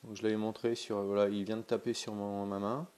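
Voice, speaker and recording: Guy with a cold, lowish voice, chatty vlog demo, lots of ums and restarts. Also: brisk. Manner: hesitant, many fillers and restarts, relaxed and chatty. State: has a cold. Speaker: pendulum dowsing vlogger. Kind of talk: casual demonstration vlog.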